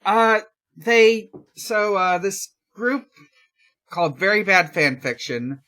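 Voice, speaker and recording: A podcast host speaking casually and haltingly, in chat and reading fanfiction aloud. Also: mid-range voice, animated, sharp tone. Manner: hesitant, halting.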